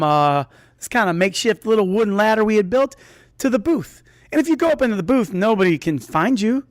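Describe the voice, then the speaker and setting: A man's voice: sits high, no room sound, studio quality. A man telling a comedic personal story to an audience, rambling and interrupting himself with asides.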